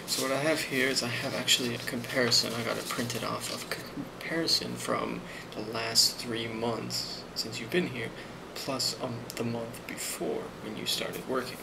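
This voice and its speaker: A man playing a friendly, rambling office boss in an ASMR role play, speaking softly and hesitantly with a reassuring manner and a creaky voice. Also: medium pitch, flat delivery, normal speed, few pauses, lightly acted. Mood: calm, pleased. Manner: soft-spoken, hesitant, reassuring. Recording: loud constant background noise.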